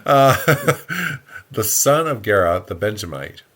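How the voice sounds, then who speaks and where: Husky, low-voiced man with animated delivery. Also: slow pace. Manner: jokey, wry. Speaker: podcast co-host reading scripture aloud. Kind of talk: Bible reading with casual, joking asides.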